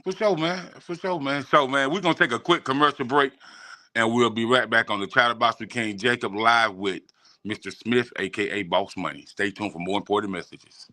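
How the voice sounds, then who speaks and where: An American man hosts a casual talk show in a bright, forceful voice of medium pitch. He repeats short affirming phrases. The sound is dry. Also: fairly even intonation, fairly quick, no room sound. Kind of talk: casual interview chat.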